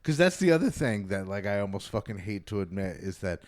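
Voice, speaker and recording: A man chatting casually as a podcast host, in a rambling, halting, sweary way. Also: on the low side, big pitch swings. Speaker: podcast host and band bass player. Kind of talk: casual chat.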